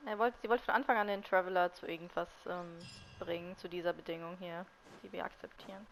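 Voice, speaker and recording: A woman's voice: fairly low pitch, steady tone, quick pace, vocal fry, a little hiss or hum, low-quality recording. A woman giving casual let's play commentary, thinking aloud in a musing way. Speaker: game streamer. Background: game music.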